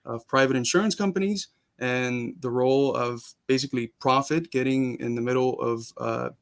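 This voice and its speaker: A man's voice: medium pitch, moderate pace, gravelly. A young man, born in Cuba and raised in Miami, talking earnestly as a guest in a casual interview, with frequent restarts. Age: young adult.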